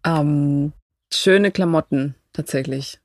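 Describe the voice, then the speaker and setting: Unhurried woman from Ecuador. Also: sits low, darkish tone, slight background noise. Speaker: podcast guest. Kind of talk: casual chat. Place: inside a camper van.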